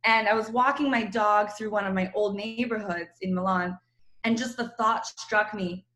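A woman from Croatia, a novelist guest on a radio interview by phone, chatty and animated, laughing often. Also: mid-range voice, moderate pace, reverberant, low-quality recording. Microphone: phone call.

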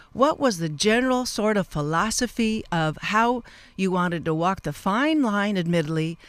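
A woman hosting a live radio interview show, with a wordy, halting delivery that wanders into asides. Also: fairly low pitch, no room sound.

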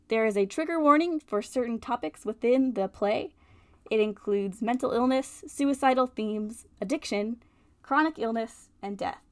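A woman radio host reading out a show introduction in an informal, somewhat halting way. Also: on the high side.

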